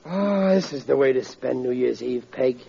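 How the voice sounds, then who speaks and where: An actor playing a blustering, sentimental working-class father in a 1940s radio comedy, with a husky voice. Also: medium pitch, expressive, normal speed, warm tone. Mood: sentimental, contented. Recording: muffled old radio broadcast.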